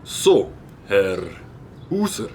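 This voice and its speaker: A man's voice: on the low side, very animated, vocal fry, background noise, exaggerated voice. A man playing a stern, officious police officer in a children's audio drama, speaking formally with a Swiss accent. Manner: officious, measured.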